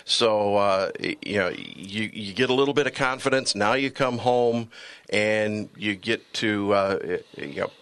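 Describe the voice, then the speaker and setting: A man's voice: fairly low pitch, unhurried, a bit bright, clean tone, no echo. An American man, a baseball broadcaster, giving conversational sports commentary.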